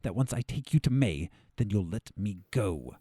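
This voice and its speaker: Guy playing a calculating doctor in a radio play, really deep mellow voice, formal and measured. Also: animated, slightly rough, no echo, studio quality. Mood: weary, bargaining. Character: weary, calculating male doctor bargaining as a captive. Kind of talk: audio drama acting.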